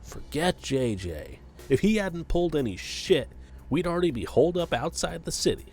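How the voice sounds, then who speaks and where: A man's voice: fairly high pitch, sing-song, moderate pace, darkish tone. A man playing a pragmatic, cynical cop on the run, speaking tersely in a radio play. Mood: impatient.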